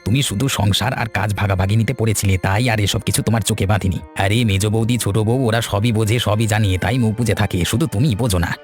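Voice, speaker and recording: A man's voice: fairly low pitch, steady tone, fast, hardly any pauses, faint music behind, natural voice. A man playing a coaxing, slyly greedy village husband in a cartoon, speaking in colloquial, explanatory family talk. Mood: scheming. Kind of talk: cartoon dialogue.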